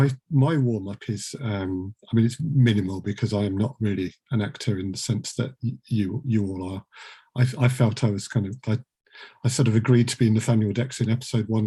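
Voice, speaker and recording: A man's voice: lowish voice, mellow, breathy edge. Man hosting a casual, jokey chat. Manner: jokey, rambling, self-deprecating. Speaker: podcast host and producer. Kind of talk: casual group chat interview.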